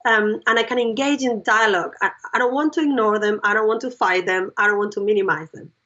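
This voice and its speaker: A woman's voice: medium pitch, steady tone, fast, pushed and bright. A woman guest explaining ideas step by step in an interview, checking in with the listener as she goes. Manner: explains in steps, checks in with "right?". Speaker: psychologist and educator guest. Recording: dull lo-fi sound.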